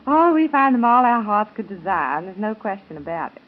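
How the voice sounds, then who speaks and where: A woman's voice: medium pitch, big pitch swings, fairly quick. An American woman giving formal, gracious answers as a guest in a 1930s radio interview. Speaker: pageant queen being interviewed. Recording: phone-like 1930s radio recording.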